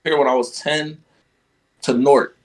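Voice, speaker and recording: Young male podcast guest, originally from Guyana; relaxed, filler-heavy conversational delivery. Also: medium pitch, moderate pace. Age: young adult. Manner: relaxed, lots of fillers like "you know what I'm saying". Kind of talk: casual conversation.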